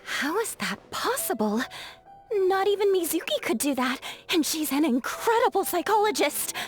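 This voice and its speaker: A woman's voice: extremely high pitch, sing-song, normal speed, soft background music. A woman playing a young, earnest, easily dismayed female character in a video game. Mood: disbelieving.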